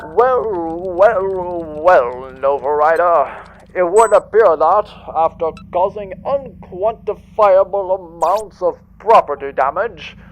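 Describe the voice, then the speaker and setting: A man playing a smug, pompous, gloating villain, the aggrieved archenemy of a space hero, in a science fiction comedy audio drama. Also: high voice. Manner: pompous, theatrical. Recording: dull lo-fi played-back recording.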